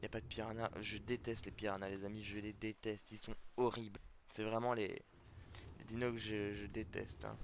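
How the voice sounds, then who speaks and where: A man's voice: lowish voice, level tone, brisk, background noise. Man with a cold narrating gameplay. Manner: chatty, addresses viewers as friends, hesitant with many fillers. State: slightly sick with a cold. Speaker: gameplay video host. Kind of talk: casual let's-play commentary to viewers.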